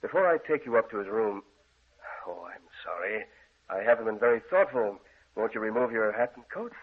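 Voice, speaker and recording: An actor playing a grave, controlling country gentleman, speaking formally and solemnly in an old radio drama. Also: on the low side, expressive, brisk, slightly rough. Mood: courteous, businesslike. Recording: muffled, tinny old radio recording.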